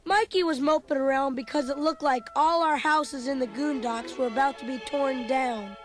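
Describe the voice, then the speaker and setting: An actor voicing an excitable young boy who narrates a storybook read-along in an earnest, dramatic manner. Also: high voice, moderate pace, over music, lightly acted. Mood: eager storytelling.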